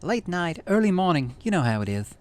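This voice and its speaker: A man's voice: high-pitched, big pitch swings, brisk, voice pushed up. A man voicing a tough, breezy teenage girl in a visual novel, with casual, artless talk. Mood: sleepy, apologetic. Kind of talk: reading visual novel dialogue aloud.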